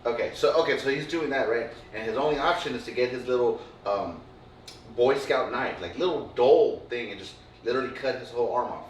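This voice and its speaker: A man tells a winding story in loose, casual chat, stumbling through fillers and tossing in swear words. His voice sits at an ordinary pitch. He is heard a little way off the mic in an echoing room, with a faint hum behind him. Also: fairly quick. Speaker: podcast co-host. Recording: roomy, distant mic, faint room noise.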